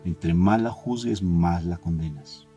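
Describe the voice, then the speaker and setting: Low-voiced man preaching conversationally. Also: slow, lo-fi sound. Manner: emphatic repetition, direct questions to the listener. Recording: soft guitar music behind the voice. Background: guitar music.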